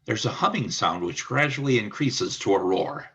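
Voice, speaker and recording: A man's voice: medium pitch, expressive, normal speed, a bit bright. A man reading scripted stage-direction narration for a radio play.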